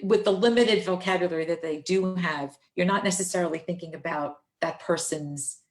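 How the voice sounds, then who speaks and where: A woman's voice: on the low side, moderate pace. A woman interviewing an author, responding enthusiastically while she searches for her words. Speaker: library interviewer. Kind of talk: casual interview talk.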